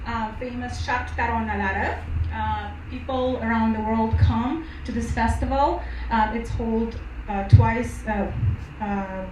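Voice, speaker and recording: A woman from Uzbekistan giving a presentation at a university event, with phrasing and an occasional laugh. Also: a little high. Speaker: guest speaker. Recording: echoey room from far off, noisy.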